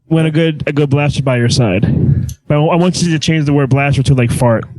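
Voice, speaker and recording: A man's voice: fairly high pitch, a little fast, darkish tone, no room sound, rough recording. A man co-hosting a podcast in casual, joking banter. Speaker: podcast co-host.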